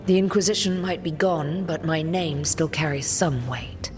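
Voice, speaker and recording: Woman acting a weary resolute fantasy game commander lady, low voice, measured and grave, music behind. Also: big-room sound, natural voice. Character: weary, resolute former Inquisitor, a woman leader. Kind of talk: video game cutscene acting.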